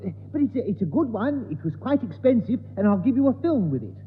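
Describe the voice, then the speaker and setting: Timid polite english fusspot, high voice, stammers, old radio comedy, tinny hissy echoey recording. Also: male voice, big pitch swings, fast. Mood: apologetic. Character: flustered, timid, prim gentleman, easily embarrassed. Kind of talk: 1960s British radio sitcom acting. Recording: thin, reverberant old radio recording with hiss.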